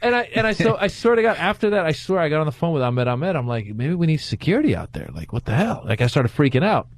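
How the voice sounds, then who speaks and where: A man born in Iran and raised in America, a comedian guest telling stories in casual chat with repeated quick starts. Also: on the high side, sing-song, dry recording.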